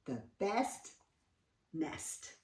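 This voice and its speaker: A woman reading a storybook aloud to children, expressive and sing-song, with questioning asides. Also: sits low, very slow, distant mic. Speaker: children's read-aloud host. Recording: roomy, set back from mic.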